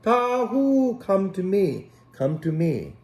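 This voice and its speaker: A man's voice: sits high, very animated, slow pace, darkish tone, echoey room, pitched above the performer's own voice, heavily acted. A man voicing a worried shepherd in a children's storybook, calling out pleadingly for his lost sheep. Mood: anxious calling. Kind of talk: children's storybook read-aloud.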